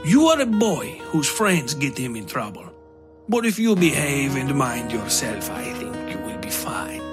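Male narrator as a lanky sinister knifeman, deliberate formal phrasing, coolly taunting, audio horror story, music behind. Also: medium pitch, big pitch swings. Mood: condescending. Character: freakishly tall, menacing Castilian knife-wielding man, coolly taunting. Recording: music under the voice.

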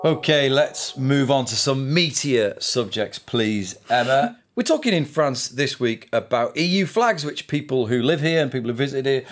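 A man's voice: medium pitch, lively intonation, normal speed, few pauses, faint music behind. A man hosting a news discussion podcast, presenting topics and putting questions to guests. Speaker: podcast host. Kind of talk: scripted introduction and news discussion.

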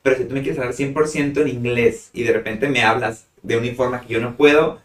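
A man with a northern Mexican accent answers questions as a psychologist guest, steady and thoughtful, often acting out short quoted exchanges. He sounds set back from the mic in a roomy space with a noticeable echo. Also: mid-range voice, fairly quick, distant mic. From Tijuana, Mexico. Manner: thoughtful, explains with examples and quoted asides. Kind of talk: interview answers.

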